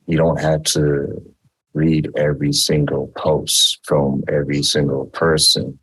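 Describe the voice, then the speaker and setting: Very deep, husky-voiced male host. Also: unhurried, rough recording. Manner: relaxed, uses everyday metaphors. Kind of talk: casual conversational interview.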